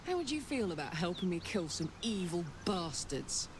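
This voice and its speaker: A woman's voice: on the low side, big pitch swings, normal speed. A woman playing a brash, battle-hardened warrior on the run from devils in a video game, with a blunt, cocky, sweary manner. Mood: confident. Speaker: video game companion character. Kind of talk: dramatic video game voice acting. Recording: game audio with clear background noise.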